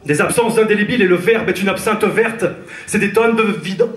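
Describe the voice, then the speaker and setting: A man from France delivers slam poetry live on a theatre stage, his rhythmic lines pressed out with intensity. His voice sits fairly high for a man. The sound carries the roomy echo of the hall. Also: a little fast, a bit bright. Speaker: slam poet.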